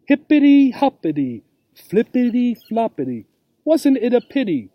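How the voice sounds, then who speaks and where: A man's voice: very high-pitched, sing-song, measured pace, dry recording, dull audio, pitched above the performer's own voice, heavily acted. A man voicing a polite, eager mother kangaroo from a children's storybook, pleading and full of wonder. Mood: singsong.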